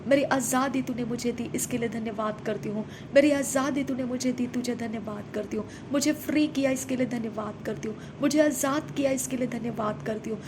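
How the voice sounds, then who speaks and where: A woman leading a fervent spoken prayer, repeating thanksgiving phrases in a steady, chant-like rhythm. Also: a little fast, hardly any pauses. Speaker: prayer minister. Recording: noisy recording with low rumble.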